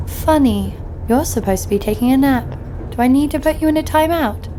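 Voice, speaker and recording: A woman's voice: high-pitched, brisk, slight background noise, natural voice. An actress playing a cheeky, sardonic female thief and killer, narrating her own escapades in an audio drama. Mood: teasing, defiant. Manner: wry, sardonic. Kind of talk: first-person audio drama narration with acted dialogue. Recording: loud music bed under voice.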